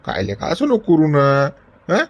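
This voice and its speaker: A man's voice: fairly high pitch, huge pitch swings, unhurried, dull audio, heavily acted. An actor playing an elderly male friend who coaxes and scolds in a Varhadi Marathi accent. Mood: coaxing. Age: older. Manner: coaxing, chiding with repeated "गड्या".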